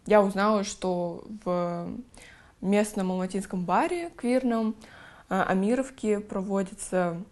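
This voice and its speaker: A twenty-year-old woman from Kazakhstan, a drag king performer and activist, speaking in a documentary interview in a steady, matter-of-fact way. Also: medium pitch.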